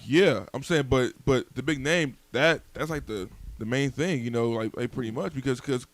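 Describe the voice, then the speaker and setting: A man co-hosting a casual debate, arguing in slang with halting, stammered repetitions. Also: mid-range voice. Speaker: podcast co-host. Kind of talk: casual debate, movie chat.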